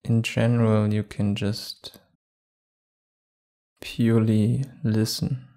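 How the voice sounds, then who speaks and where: A man guiding a meditation calmly and without hurry, leaving long pauses between short phrases. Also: on the low side. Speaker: meditation guide. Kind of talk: guided meditation.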